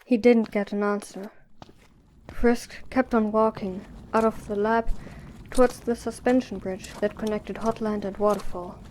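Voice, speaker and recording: A woman's voice: medium pitch, fairly even intonation, a little slow, darkish tone, a little hiss or hum, low-quality recording. A woman narrator reading a scripted story for an audio drama.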